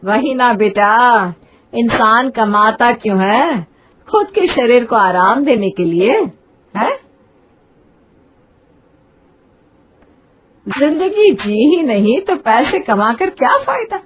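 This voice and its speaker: A woman's voice: a little high, expressive, slow, breathy edge, full character voice, low-quality recording. A woman voicing a boastful, dramatic middle-aged Indian mother-in-law in a cartoon moral story. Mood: persuasive. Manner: haughty, dramatic, wheedling.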